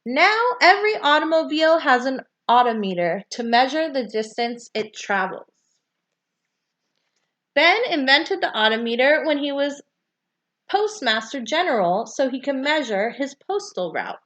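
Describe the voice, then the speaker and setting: A woman with a high voice reads aloud from a children's nonfiction book. Her delivery is that of read-aloud narration for young listeners. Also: very animated, measured pace, a bit bright, highs cut off.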